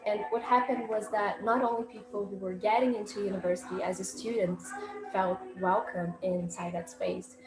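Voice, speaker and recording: A woman in her early twenties speaks with a Brazilian accent in an informal presentation. Her phrasing is halting as she looks for words. The room is strongly echoey, with noticeable noise behind her. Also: mid-range voice. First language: Portuguese. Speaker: poet and student speaker.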